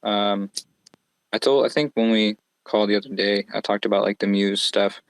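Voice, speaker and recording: Young male podcast guest, low and flat, relaxed rambling delivery. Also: moderate pace, vocal fry, smooth, dry recording, rough recording. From Northwest Florida. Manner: laid-back, rambling, slangy. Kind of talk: casual podcast interview.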